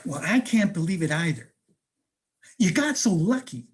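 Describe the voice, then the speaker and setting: A woman's voice: on the low side, very animated, normal speed. A woman acting a prying, incredulous close friend in a conversational comic one-act, with teasing, probing questions. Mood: scolding.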